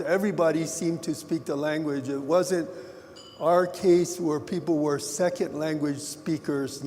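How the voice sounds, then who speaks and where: A man speaking at a conference, his voice a little higher than most men's. He talks loosely and conversationally, pausing and restarting as he goes. The room rings with a long reverb over a faint hiss. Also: darkish tone. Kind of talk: conference talk to an audience. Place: conference hall. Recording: roomy hall, faint background hiss.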